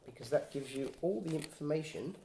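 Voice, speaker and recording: A man's voice: on the high side, animated, brisk, creaky, warm tone, a little hiss or hum. A man from England, patiently explaining map and compass basics step by step as a hiking guide. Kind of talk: casual instructional explanation.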